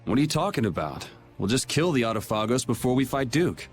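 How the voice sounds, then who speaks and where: A man's voice: medium pitch, very animated, brisk, faint music behind, close to an everyday voice. Man acting a cool, dry young hero. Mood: determined. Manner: casual, dry, firm. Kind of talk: video game voice acting.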